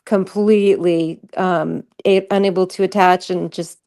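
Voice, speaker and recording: A woman's voice: on the low side, steady tone, a little slow, darkish tone. A woman chatting casually, telling things in an informal, meandering way. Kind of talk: casual chat.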